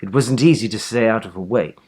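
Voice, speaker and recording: A man reading a children's story aloud with animated, theatrical emphasis. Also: lowish voice, brisk, husky. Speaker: storybook reader.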